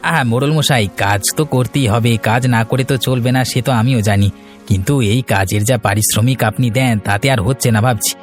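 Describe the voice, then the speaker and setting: A man's voice: mid-range voice, fast, faint music behind, natural voice. A man voicing a pushy, shifty village schemer in a cartoon, by turns wheedling and bullying. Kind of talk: cartoon story dialogue.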